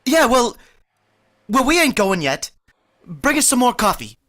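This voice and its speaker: A man's voice: very high voice, big pitch swings, measured pace, sharp tone, heavily acted. An actor playing a boastful, easily flustered working-class man who blusters and stammers when he gets nervous, in vintage radio comedy style.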